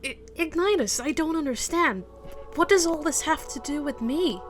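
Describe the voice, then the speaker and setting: A woman's voice: sits high, lively intonation, moderate pace, faint music behind, voice pushed up. A woman voicing a young, timid male dragon hero in a dramatic script reading. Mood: confused. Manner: unsure, hesitant.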